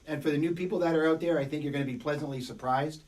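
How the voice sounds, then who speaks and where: A man's voice: fairly high pitch, fairly even intonation, very fast, a little mellow, recorded from a distance. A middle-aged man coaching a webinar in an emphatic, repetitive motivational way, his voice worn by a cold. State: fighting a cold, voice a bit worn. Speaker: business coach. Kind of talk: webinar teaching.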